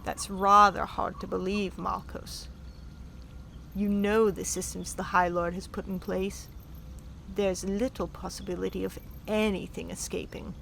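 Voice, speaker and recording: A woman's voice: mid-range voice, flat delivery, a little slow, creaky, a bit bright, a little hiss or hum. A woman playing a haughty, savage countess in a sci-fi audio drama, languid, mocking and menacing. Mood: cool disdain. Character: haughty, savage countess, cool sardonic schemer. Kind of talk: full-cast sci-fi audio drama acting.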